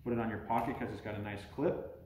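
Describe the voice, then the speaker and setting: A man's voice: fairly low pitch, steady tone, fast, a little mellow, a little hiss or hum. A middle-aged American man talking casually as a father reviewing a product on a family vlog. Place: living room at home. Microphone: camera a short distance away. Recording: roomy home sound with music behind.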